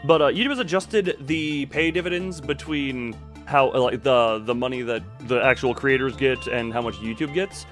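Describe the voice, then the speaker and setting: A man's voice: mid-range voice, normal speed, a bit bright, faint music behind. A man giving casual livestream commentary on a game, rambling and breaking off his own sentences with wry asides. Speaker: video game streamer.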